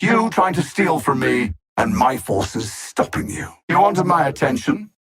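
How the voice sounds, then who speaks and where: A man voicing a cold, arrogant alien machine-mind villain in a video game, speaking in precise, formal phrases with theatrical condescension and a gravelly, husky edge. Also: on the high side, big pitch swings, a little slow, fairly bright tone, full character voice. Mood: haughty taunting.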